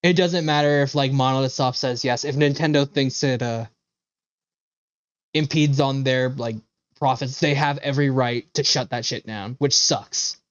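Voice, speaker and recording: A man's voice: mid-range voice, steady tone, normal speed, no room sound. A male game streamer with a mid-range voice talks casually to his viewers. He rambles, opinionated and sweary. The sound is dry and a little dull.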